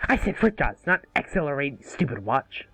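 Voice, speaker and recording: A man's voice: medium pitch, sing-song, moderate pace, a bit bright, husky, faint music behind. A man reading the part of a cocky, stubborn young boy hero in an amateur cartoon table read. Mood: annoyed.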